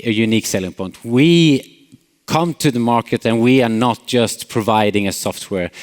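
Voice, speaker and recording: Swedish man giving a talk in a hall. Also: medium pitch. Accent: Swedish, Småland. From Sweden. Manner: friendly, many filler uhs, light jokes. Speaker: CEO giving a conference talk. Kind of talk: conference keynote. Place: large conference hall.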